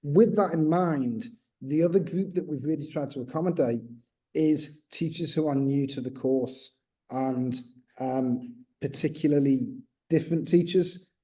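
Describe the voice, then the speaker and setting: A man's voice: a little high, a little slow, dark tone. A man presenting on a video call webinar, explaining at length, with many fillers and restarts, and a slight accent of his own. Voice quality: says he has a slight accent. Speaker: textbook co-author.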